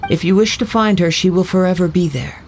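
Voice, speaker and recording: A calm, gravelly young samurai. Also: male voice, sits high, a little hiss or hum. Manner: calm, measured, poetic. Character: calm young male wandering samurai, poetic. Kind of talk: video game voice acting. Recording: soft game music underneath.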